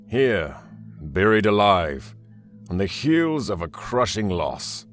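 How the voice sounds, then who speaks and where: An ancient demon warlord with a deep, low voice. He is arrogant and coldly calculating, weighing each phrase with dry, sardonic deliberation. It is an audio drama monologue over faint music. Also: a bit bright, exaggerated voice. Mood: reflective, proud.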